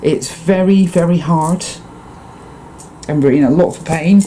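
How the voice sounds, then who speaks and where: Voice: medium pitch, a little slow, mellow, a little hiss or hum, poor audio. Intense, swearing speaker with a Dutch accent. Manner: intense, profane, emphatic, repeats words for emphasis. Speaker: spiritual vlogger. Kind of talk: impassioned monologue to viewers.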